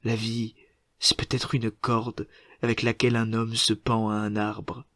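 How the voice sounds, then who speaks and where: A man reciting literary poetry in a solemn, measured manner, setting short phrases apart with deliberate pauses. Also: lowish voice, level tone, pushed and bright, dry recording, studio quality. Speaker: poetry reader.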